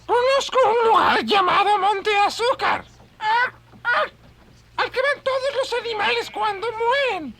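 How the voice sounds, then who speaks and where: A woman voicing a sly, gossiping tame raven who preaches of paradise in a high, creaky, cawing voice for an audio drama. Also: unhurried, fairly bright tone, full character voice. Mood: sly rapture.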